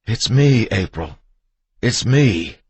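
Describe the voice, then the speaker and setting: A man voicing a frightened, frantic young mother in an audiobook, with a strained, shrill, defensive delivery. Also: expressive, slow pace, husky, dry recording, heavily acted. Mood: shaken, distrustful. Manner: panicked, shrieking, defensive.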